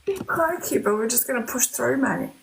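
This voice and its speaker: An English woman with a croaky, rough-edged voice talks casually in a live video chat. She rambles a little, filling gaps with "like" and "you know". Her audio carries clear background noise. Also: a little high, animated, normal speed. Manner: chatty, peppers speech with "like" and "you know". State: croaky hoarse voice. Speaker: medium.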